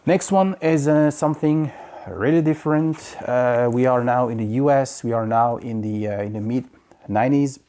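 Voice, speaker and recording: A man from France with a French accent, chatting casually about his record purchases in a halting way, full of fillers and restarts. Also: medium pitch, mellow. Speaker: vinyl collector vlogger. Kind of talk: casual record haul review. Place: home in Quebec.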